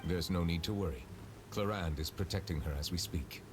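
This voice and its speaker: Man voicing a formal chief justice, deep voice, measured, game music behind. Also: lightly acted. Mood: composed. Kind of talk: video game voice acting.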